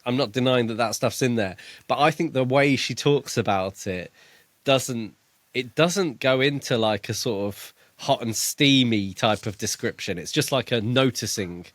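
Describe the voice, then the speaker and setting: A man's voice: mid-range voice, normal speed, fairly bright tone, no echo. A man co-hosting a podcast in a casual book discussion, thinking aloud in a tentative way. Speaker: podcast co-host.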